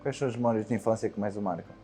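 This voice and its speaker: A man puts short, friendly questions to his guest. His voice sits somewhat low, with faint music behind it. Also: steady tone, rapid-fire, warm tone. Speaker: interviewer. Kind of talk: interview questions.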